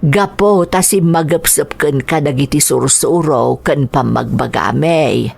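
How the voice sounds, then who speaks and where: A woman voicing a loving older mother with an Ilocano accent, gentle and grateful, in an audio drama. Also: low voice, a little slow, vocal fry, husky, voice pushed down, exaggerated voice. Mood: tender.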